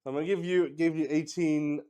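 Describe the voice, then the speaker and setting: A man's voice: fairly high pitch, fairly even intonation, brisk, dark tone, clean tone. A man hosting a casual livestream, thinking aloud and rambling in a hesitant way. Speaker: streamer hosting a solo tabletop roleplay stream. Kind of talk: casual improvised livestream chat.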